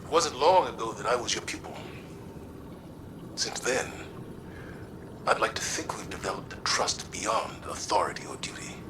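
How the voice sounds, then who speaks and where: A man's voice: fairly low pitch, lively intonation, normal speed, long pauses, noisy background, close to an everyday voice. A man playing a dignified, thoughtful military commander who speaks formally and pensively in a video game. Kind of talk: scripted game dialogue.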